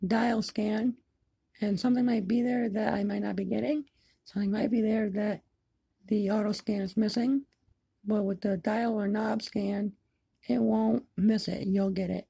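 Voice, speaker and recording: Gravelly, husky woman chatting casually. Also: medium pitch, dark tone, no room sound. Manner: halting, repetitive, plain-spoken. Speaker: hobbyist video maker. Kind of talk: casual chat to camera. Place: indoors in an apartment, by a window.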